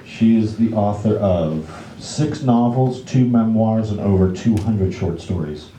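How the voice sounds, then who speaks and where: Low, very mellow man hosting in an echoey room. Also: unhurried, a little hiss or hum. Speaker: event host introducing a staged reading. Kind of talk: casual live introduction to an audience. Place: indoor library event room.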